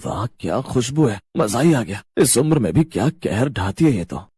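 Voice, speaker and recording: A man plays a smitten young husband in a cartoon family drama. He is gushing and amorous, with a husky voice in a very dry recording. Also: medium pitch, big pitch swings, normal speed, mellow, dry recording. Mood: amorous pleading.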